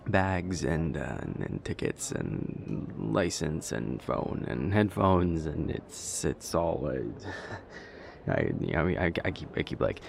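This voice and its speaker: A man playing a nervous vampire boyfriend who is afraid of flying, speaking haltingly with stammers in an intimate audio roleplay. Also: rumbling low, big pitch swings, gravelly, full character voice. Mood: flustered, anxious.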